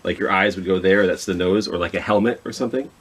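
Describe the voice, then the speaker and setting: A man's voice: low-pitched, fairly even intonation, fast, fairly bright tone, slight background noise. A man hosting a children's storytime, reading aloud with chatty asides and questions to his listeners. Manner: chatty, self-talking, asks listeners questions. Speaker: children's library storytime host.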